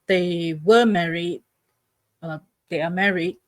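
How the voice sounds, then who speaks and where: A woman's voice: on the low side, steady tone, slow, no echo, clean studio sound. A middle-aged woman with a Malaysian accent, chatting casually as a guest. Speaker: guest, stay-at-home wife. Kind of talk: casual self-introduction.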